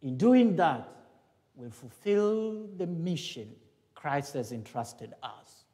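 A middle-aged man from Tanzania preaching a homily in a large church sanctuary. His voice sits high for a man and moves at an unhurried pace. The long reverb of the hall trails after each phrase, picked up from a distance by the church sound system. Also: animated, mellow. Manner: slow, earnest preaching with rising emphasis. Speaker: priest. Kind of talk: homily at Mass. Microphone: far camera, church sound system.

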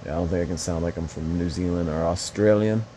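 A man's voice: deep voice, steady tone, quick pace, mellow, a little hiss or hum. A young man casually commenting on a mobile fighting game, rambling and swearing with joking asides.

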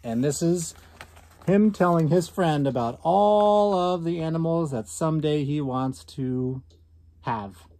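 A man in his thirties teaches in a conversational way, putting questions to listeners as he comments on a book. His voice is a little high for a man. The recording is dry and indoors. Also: takes it slowly, warm tone, no echo. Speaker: teacher reading aloud. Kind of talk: read-aloud lesson with casual commentary. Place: small indoor room at home.

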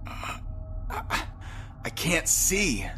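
An actor playing a dazed, wounded young male clone in a science fiction audio drama, speaking haltingly and in eerie, repetitive, incantatory phrases. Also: on the high side, huge pitch swings, music in the background, exaggerated voice. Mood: dazed, confused.

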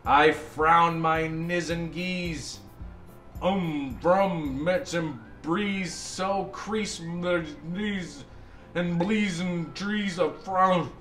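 A man's voice: fairly high pitch, drawn-out words, a bit bright, echoey room, faint music behind. A man voicing a hopeful, innocent rolling circle in a storybook, earnest and singsong. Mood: happy singsong. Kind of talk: storybook character voice.

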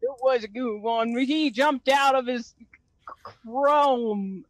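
A woman voicing a folksy rusty tow truck with a drawn-out delivery in an improvised cartoon parody dub. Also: fairly high pitch, no room sound, rough recording. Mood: amused. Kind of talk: improvised comedy fandub.

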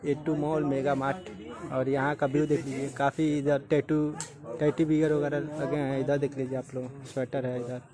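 A man's voice: mid-range voice, level tone, normal speed. A man in his twenties with an eastern Uttar Pradesh accent, chatting casually as a vlogger showing viewers around a shop. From India. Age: 20s. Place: large indoor clothing store. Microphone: handheld phone or camera at arm's length. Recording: busy shop chatter behind voice.

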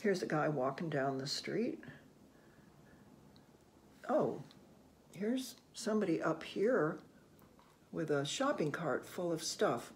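Older storybook reader with a creaky voice. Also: medium pitch, sing-song, slight background noise. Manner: gentle, unhurried, musing with soft hmms and ohs. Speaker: children's read-aloud host. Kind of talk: picture book read-aloud with asides to children. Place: small room indoors at home.

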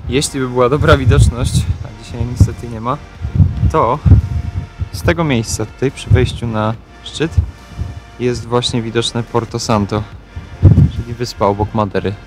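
A man with a Polish accent and a mid-pitched voice talks casually as a hiking vlog host. He is recorded outdoors on a trail, with clear music in the background. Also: steady tone, normal speed, long pauses, low-quality recording. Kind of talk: casual travel vlog narration.

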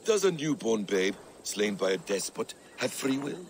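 An actor playing a shrewd, brooding warrior-scholar in a video game, speaking in a measured, sardonic, probing way. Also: male voice, lowish voice, big pitch swings, a little hiss or hum. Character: wry, shrewd, brooding male warrior-scholar, a sly schemer. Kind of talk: video game cutscene acting.

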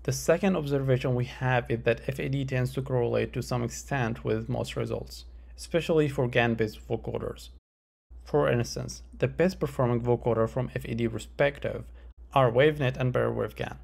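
A man giving a scripted academic presentation as a researcher, in a measured, formal, explanatory way. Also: mid-range voice, gravelly, darkish tone. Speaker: researcher presenting a conference paper.

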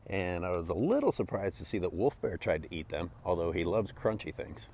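A man's voice: low-pitched, sing-song, fairly quick, a little mellow, a little hiss or hum. A young man giving scripted, tongue-in-cheek voice-over narration for his foraging vlog.

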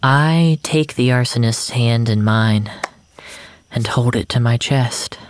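A narrator reading surreal literary fiction in a measured, first-person storytelling style with dramatic pauses. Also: mid-range voice, no room sound. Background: music.

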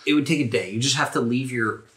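A man's voice: medium pitch, quick pace, fairly bright tone. A man improvising narration as the game master of a tabletop role-playing podcast.

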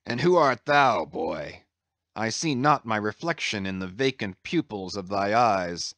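A man's voice: fairly low pitch, animated, a little slow, fairly bright tone, no room sound. A man playing a brooding, half-mad old whaling captain in a dramatised sea story, commanding and declaiming in grand archaic speech. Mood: tender, anguished. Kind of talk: dramatised classic sea novel reading.

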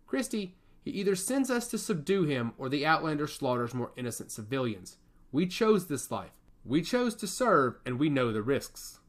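Narrator doing a calm soldier guy in a story, firm and steady, bit high voiced. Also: moderate pace. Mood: resolute. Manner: calm and resolute. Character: steady, dutiful male soldier. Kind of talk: audiobook character reading.

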